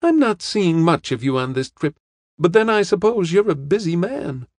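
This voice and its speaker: A man's voice: sits high, sing-song, normal speed, darkish tone, no room sound, voice pushed up. A man voicing a shrewd, kindly old lady in an audiobook, plain-spoken and knowing. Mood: friendly.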